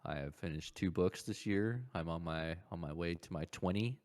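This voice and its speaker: A man with a low voice speaks as a podcast co-host. His delivery is informal and conversational, like casual chat between friends. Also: moderate pace, darkish tone.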